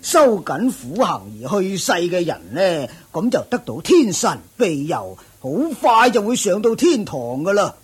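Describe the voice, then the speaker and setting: A dogmatic old ascetic teacher in a Hong Kong Cantonese radio play, husky and unhurried. He hems and sighs through drawn-out phrases, his fairly high voice rising and falling dramatically. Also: big pitch swings, full character voice. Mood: complacent. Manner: drawn-out, hemming and sighing. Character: senior ascetic master, dogmatic, complacent old Taoist-style teacher. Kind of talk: Buddhist radio drama acting.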